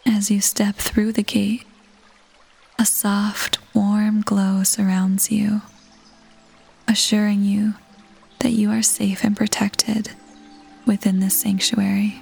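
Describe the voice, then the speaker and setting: Female meditation guide, near-whisper, very even pitch, creaky edges, soothing, gentle. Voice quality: soft, breathy, near-whisper. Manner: soothing, unhurried, gentle pauses between phrases. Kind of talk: scripted guided meditation, ASMR-like. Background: soft new-age music.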